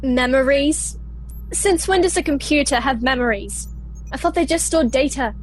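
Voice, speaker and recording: A woman playing an anxious, excitable young girl colonist in a sci-fi radio play. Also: high-pitched, normal speed, a little hiss or hum, close to an everyday voice, low-quality recording. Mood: doubtful, dismayed. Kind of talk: science fiction radio play acting.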